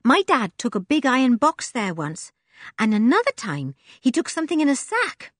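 A woman's voice: high-pitched, huge pitch swings, normal speed, no room sound, studio quality, voice pushed up, heavily acted. A woman voicing a scruffy, tough young girl who talks bluntly and warily.

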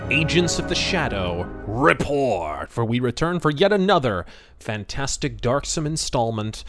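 A man's voice: mid-range voice, sing-song, a little slow, a bit bright, soft background music. A man hosting a podcast, giving commentary in an emphatic, theatrical manner with florid wording. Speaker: podcast host. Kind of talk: podcast commentary, semi-scripted talk.

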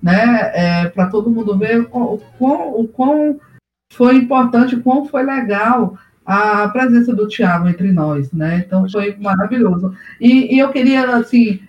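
A man's voice: high-pitched, expressive, normal speed, recorded from a distance, lo-fi sound, faint music behind. A man co-hosting a casual chat, talking hesitantly with many fillers, on echoey remote audio. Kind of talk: casual conversation on a live stream.

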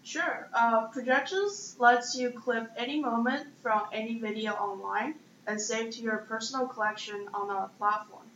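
A woman's voice: a little high, normal speed, hardly any pauses, fairly bright tone, slight background noise. A woman in her twenties with a Chinese accent gives conversational interview answers as a founder. She is recorded in an echoey room, the sound a bit dull. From Shanghai, China. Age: 20s. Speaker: startup founder being interviewed.

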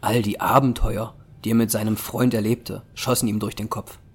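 A man's voice: fairly low pitch, flat delivery, moderate pace, husky. A man narrating a scripted story as the narrator of an audio drama.

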